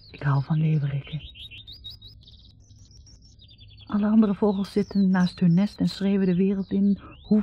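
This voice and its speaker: An actress playing a bitter, reflective young woman academic in a 1960s radio drama, speaking in a halting, confessional way. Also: fairly low pitch, husky. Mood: dreamy. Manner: halting, confessional, repeating words. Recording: muffled 1960s radio recording.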